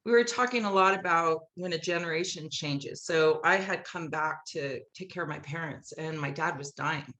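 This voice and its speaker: A woman's voice: sits low, normal speed, few pauses, fairly bright tone. A woman speaking casually and conversationally as a guest in a podcast interview. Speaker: podcast guest, musical writer.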